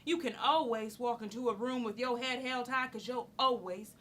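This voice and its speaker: Actress as proud, high-voiced outspoken radical woman. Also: normal speed, a bit bright, slight background noise, close to an everyday voice. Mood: worldly, assured. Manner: emphatic, declamatory storytelling. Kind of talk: theatrical monologue.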